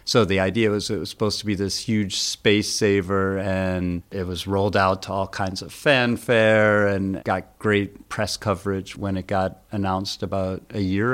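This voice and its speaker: A man with a low voice talks as an editor being interviewed about the news. He explains things step by step in plain, informal language. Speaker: senior editor, interviewed guest. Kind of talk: conversational explanation of a news story.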